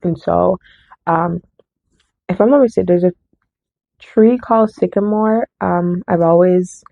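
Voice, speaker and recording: A woman's voice: lowish voice, a little slow, creaky, no room sound. A woman chatting casually about her book haul, rambling and often correcting herself, slightly nasal from a cold. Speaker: book vlogger. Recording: dry, tinny phone-like sound.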